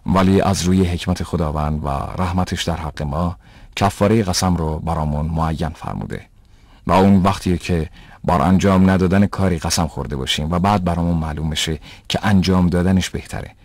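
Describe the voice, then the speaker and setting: Man as pious father, low voice, measured, didactic, patient, dry close sound, cartoon dub. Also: fairly even intonation, darkish tone, dry recording. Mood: patient, instructive. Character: calm pious father, patient moral teacher. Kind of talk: dubbed educational cartoon, didactic delivery.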